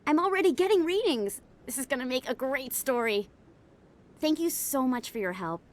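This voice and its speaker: Reporter: earnest, grateful, fairly high female voice, game dialogue with faint noise. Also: animated, normal speed. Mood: grateful, eager. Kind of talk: video game dialogue acting.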